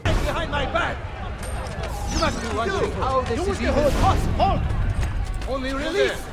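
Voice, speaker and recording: An actor playing an outraged, hostile guard in a video game, shouting. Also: male voice, extremely high pitch, expressive, moderate pace, crowd noise. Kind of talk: video game combat barks. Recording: distant, under loud music.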